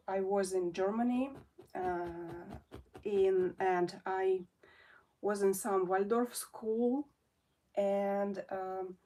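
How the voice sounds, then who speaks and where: A Russian-born woman in her forties talks slowly to her viewers in English, her second language, pausing often and restarting her sentences. Her voice sits a little away from the microphone, so it sounds somewhat distant. The tone is a relaxed, homemade chat. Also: medium pitch. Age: 40s. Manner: hesitant, many fillers and restarts. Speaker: felting artist and channel host. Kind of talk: casual chat to camera.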